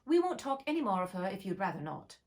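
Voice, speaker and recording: A woman's voice: mid-range voice, very animated, fast. A woman voicing a polite, curious young girl who chatters earnestly. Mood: apologetic.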